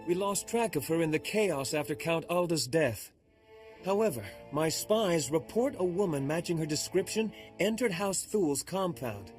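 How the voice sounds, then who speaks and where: A noble negotiator acted by a man with a fairly high voice. He speaks formally and earnestly in game dialogue, over faint music. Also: animated, moderate pace, natural voice. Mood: concerned. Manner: formal, diplomatic. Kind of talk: video game cutscene acting.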